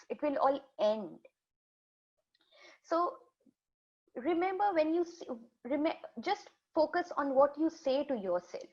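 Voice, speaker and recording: A woman whose first language is Urdu, giving a health presentation to students in a steady, explanatory way, often restarting and repeating words. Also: sits high. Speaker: health educator presenting a webinar. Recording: dull lo-fi webinar audio.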